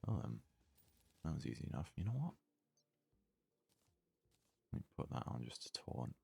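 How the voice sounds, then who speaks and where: A man doing hushed ASMR gaming commentary with a gravelly, husky voice, casually musing aloud close to the microphone. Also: very deep voice, very animated, moderate pace, no echo. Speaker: let's play host.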